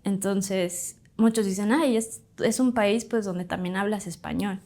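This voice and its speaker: A woman in her thirties, a doctor from Bolivia, telling her migration story in a chatty, animated way as a podcast guest. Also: medium pitch, measured pace. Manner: chatty, animated, fond of "pues" and "literal". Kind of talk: casual interview storytelling.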